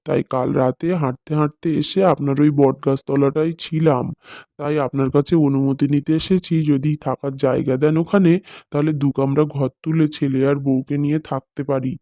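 A man's voice: mid-range voice, flat delivery, normal speed, no echo, muffled recording, close to an everyday voice. A man voicing a poor, humble village father in a cartoon.

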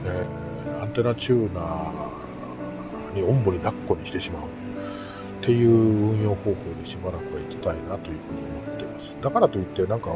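A man's voice: low-pitched, a little fast, muffled recording, over loud music. A middle-aged man from Japan talking casually to camera as a hobbyist vlogger, in an easygoing, rambling, self-deprecating way. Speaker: amateur radio hobbyist vlogger. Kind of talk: casual vlog talk to camera.

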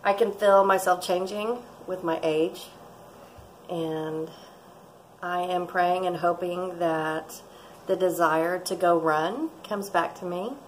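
A woman's voice: lowish voice, steady tone, slow, a little hiss or hum, poor audio. A woman, chatting casually and rambling through a cooking vlog in her home kitchen, recorded by a camera close by. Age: 40s. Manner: chatty, rambling, laughs often. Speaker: vlogger. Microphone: fixed camera at close range.

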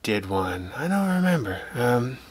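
A man talking casually as an interview guest, in a rambling, halting way, emphatic in his opinions. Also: mid-range voice, big pitch swings, a little hiss or hum. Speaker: podcast guest, audio drama writer and producer.

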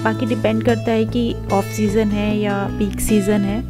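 A young woman gives a chatty hotel walkthrough, mixing in English phrases as she points things out. Her voice sits at an ordinary middle pitch. Clear guitar music runs underneath her. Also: flat delivery, unhurried. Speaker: travel vlogger. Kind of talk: hotel tour voice-over.

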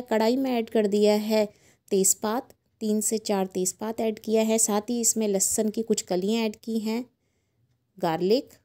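A woman's voice: mid-range voice, mellow. A middle-aged woman with an Indian accent, hosting a cooking channel and chatting through a recipe in a voice-over. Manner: chatty, mixes English words into Hindi.

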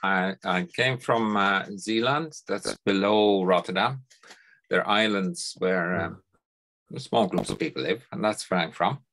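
Dutch-accented man, lowish voice, on a video call. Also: a bit bright, poor audio. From Netherlands. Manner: thoughtful, unhurried, repeats words as he starts sentences. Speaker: guest. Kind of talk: conversational interview. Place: home study lined with bookshelves.

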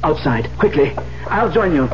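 An actor playing a shocked, protective gentleman in a 1970s radio drama. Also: on the high side, big pitch swings, moderate pace. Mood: shocked, urgent. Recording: muffled old radio, faint hum.

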